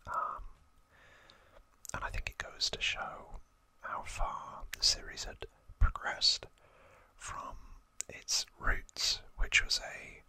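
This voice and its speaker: A host whispering softly and haltingly close to the microphone, explaining trivia informally in an ASMR style. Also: no echo. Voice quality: soft unvoiced whisper.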